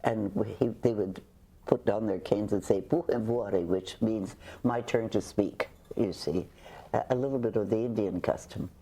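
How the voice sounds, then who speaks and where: Older woman, interview guest, husky and mellow, halting storyteller. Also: extremely low pitch. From Canada. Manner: halting, with frequent restarts and hesitations. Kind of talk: reflective conversational storytelling.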